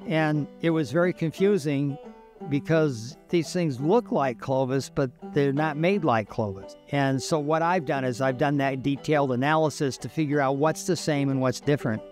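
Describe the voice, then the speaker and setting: Older man, measured, music behind. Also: fairly high pitch, a little mellow. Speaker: archaeologist, stone-tool analyst. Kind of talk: documentary interview. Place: outdoors, open yard at dig site.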